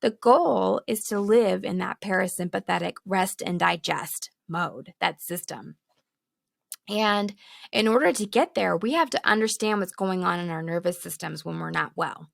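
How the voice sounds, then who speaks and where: Hoarse woman with a gravelly creak. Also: lowish voice, moderate pace, no echo, clean studio sound. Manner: candid, laughing between points. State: losing her voice, hoarse. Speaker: podcast host. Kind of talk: casual teaching talk. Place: home with children nearby. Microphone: studio microphone, close.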